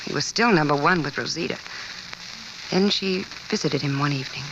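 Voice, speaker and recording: A woman's voice: low-pitched, a little fast, natural voice. A woman acting a world-weary, guarded confidante in an old radio crime drama. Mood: guarded, matter-of-fact. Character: world-weary woman confidante who knows the underworld crowd. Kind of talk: 1940s-50s American radio drama acting. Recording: dull, hissy old radio recording.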